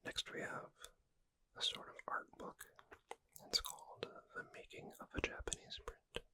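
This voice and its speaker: A performer voicing a gentle witch bookseller in an ASMR roleplay, soothing a customer to sleep with an unhurried, breathy whisper. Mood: soothing, reassuring. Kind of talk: ASMR roleplay, reading aloud and describing books.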